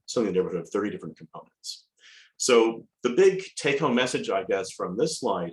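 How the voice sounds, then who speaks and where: Raspy man with a cold giving a lecture. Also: fairly low pitch, rough recording. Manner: measured, with frequent ums and restarts. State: hoarse from a cold. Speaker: guest lecturer. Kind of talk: academic lecture over video call. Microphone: video call.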